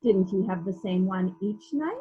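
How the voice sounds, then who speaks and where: A woman playing a brisk, authoritative police detective who puts pointed questions in a murder mystery reading, on slightly dull lo-fi audio. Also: mid-range voice, expressive, slight background noise, close to an everyday voice. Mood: probing, brisk.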